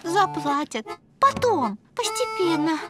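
A woman voicing a vain, impulsive young piglet girl in a cartoon, squeaky, childlike and pouty. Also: big pitch swings, slow pace, full character voice. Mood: dejected, pouty. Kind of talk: animated cartoon voice acting. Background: music.

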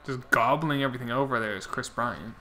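A man's voice: medium pitch, fairly quick, bright, forceful tone, low-quality recording. A young man streaming a baseball video game, rambling casually and answering his chat viewers, his voice getting worn out. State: losing his voice. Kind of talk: casual chat while playing.

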